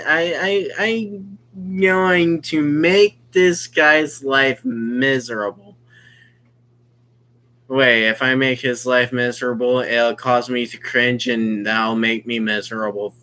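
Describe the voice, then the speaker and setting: A game streamer giving live commentary on a visual novel, exclamatory and sweary, halting and often repeating phrases. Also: medium pitch, bright, forceful tone. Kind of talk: live visual novel playthrough commentary, reading lines aloud.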